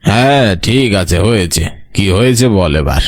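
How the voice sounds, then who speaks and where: A man playing a greedy, short-tempered older brother, the gruff, contemptuous villain of a horror audio story. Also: fairly low pitch, expressive, moderate pace, dry recording, clean studio sound, lightly acted. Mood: irritated.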